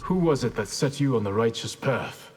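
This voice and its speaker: Husky, solemn ninja master. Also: male voice, mid-range voice, animated, moderate pace, a little mellow. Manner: formal, solemn. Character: grave, dutiful male ninja clan master. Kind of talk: video game fight banter.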